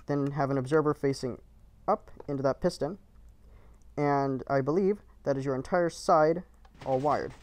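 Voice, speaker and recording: A man talks a listener through a game build, one short instruction after another. His delivery is calm and matter-of-fact, at a middling pitch. It is recorded as a plain voice-over. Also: moderate pace, no echo. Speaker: game tutorial creator.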